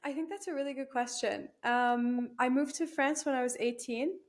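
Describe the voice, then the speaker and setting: Chatty young woman, higher voice. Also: normal speed. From Canada. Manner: chatty, laughs easily, many fillers like "like" and "um". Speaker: guest, new team member. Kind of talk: casual interview chat.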